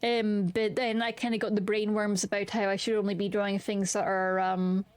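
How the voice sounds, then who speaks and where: A woman's voice: medium pitch, flat delivery, normal speed, clear, resonant tone, dry recording. Sweary woman streamer, dry close mic. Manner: candid, sweary and rambling, with frequent restarts. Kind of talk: casual chat while streaming a game.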